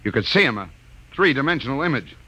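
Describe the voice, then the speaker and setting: A man's voice: medium pitch, sing-song, fast talker, close to an everyday voice. Man playing a startled crewman through heavy noise. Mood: amazed. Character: startled, curious male spaceship crewman exploring alien machinery. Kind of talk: old-time science fiction radio drama acting. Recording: very noisy old radio recording.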